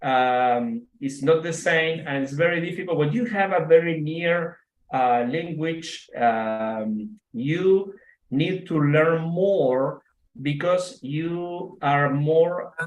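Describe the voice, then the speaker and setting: Argentine man, slow, halting English. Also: a little high. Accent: Argentine Spanish. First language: Spanish. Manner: halting English with many fillers and restarts, laughs often. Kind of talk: casual video call chat. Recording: echoey room.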